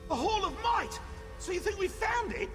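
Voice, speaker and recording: An actor playing an eager, excitable male scholar's assistant in a fantasy game, exclaiming with open enthusiasm. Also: very high voice, moderate pace. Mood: excited. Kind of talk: scripted fantasy game dialogue. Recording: long hall reverb, music behind.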